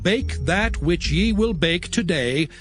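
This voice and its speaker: A man's voice: high-pitched, expressive, takes it slowly, over music. An actor playing an earnest male prophet instructing his people, declaiming with authority in a dramatized scripture reading. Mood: earnest, authoritative.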